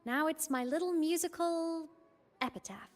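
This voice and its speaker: A woman's voice: very high voice, normal speed. A woman playing an earnest, wistful young academy student and musician in a video game, speaking in a heartfelt way. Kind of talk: emotive video game story acting. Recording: long hall reverb, music behind.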